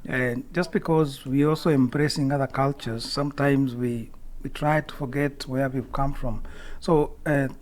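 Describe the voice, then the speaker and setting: A man with a Kenyan accent answering as a guest in an unscripted radio interview, in a hesitant, halting way. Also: mid-range voice, fairly even intonation. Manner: hesitant, halting delivery with many pauses. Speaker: interview guest, community leader.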